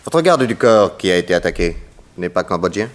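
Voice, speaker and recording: An actor playing a shrewd, courteous detective who questions people with theatrical confidence, in a 1960s radio serial. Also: male voice, sits low, sing-song, a little fast. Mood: probing, polite. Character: shrewd, probing, confident American male detective. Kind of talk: 1960s French radio drama acting.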